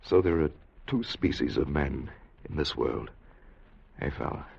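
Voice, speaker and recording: Serious fatherly doctor time traveler, low gravelly husky voice, 1950s radio drama, muffled. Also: measured pace, darkish tone, full character voice. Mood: grave pondering. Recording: dull vintage 1950 radio recording.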